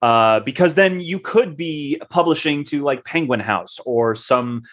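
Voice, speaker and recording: A man's voice: on the high side, expressive, measured pace, a bit bright. A man co-hosting a podcast in casual chat, talking loosely with many fillers and self-corrections. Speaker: podcast co-host.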